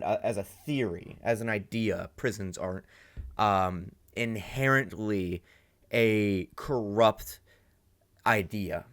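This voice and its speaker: A man hosting a reaction video, rambling and thinking aloud with lots of fillers. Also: on the low side. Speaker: reaction channel host. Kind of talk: casual reaction commentary.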